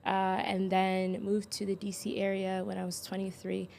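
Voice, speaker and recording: A woman's voice: mid-range voice, completely flat delivery, moderate pace, creaky, darkish tone, slight background noise. A young woman, born in Ethiopia and raised in Florida, chatting casually about her life as the guest on her own podcast, laughing often and talking with many ums and likes. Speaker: podcast host, guest this episode. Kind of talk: casual interview conversation.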